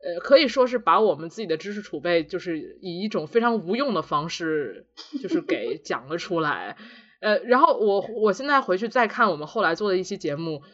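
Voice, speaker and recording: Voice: mid-range voice, very animated, brisk, a bit bright, lo-fi sound. A podcast co-host chatting casually in a reflective, self-deprecating way. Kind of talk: casual two-person podcast chat.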